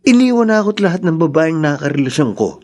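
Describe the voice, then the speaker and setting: World-weary elder brother in radio drama, confiding, resigned, voice slightly high. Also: male voice, very animated, quick pace, darkish tone. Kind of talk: radio drama acting in Tagalog.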